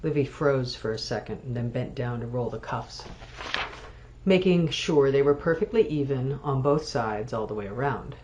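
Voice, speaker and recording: A woman author reading literary fiction aloud, measured and expressive. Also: a bass voice, a little hiss or hum. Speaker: author reading from own novel.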